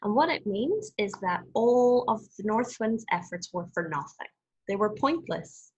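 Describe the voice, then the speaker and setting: A woman with a very husky, breathy voice reads a fable as a teacher would for young children. Her delivery is expressive and deliberate. She explains as she goes and puts questions to the listener. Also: mid-range voice, lo-fi sound.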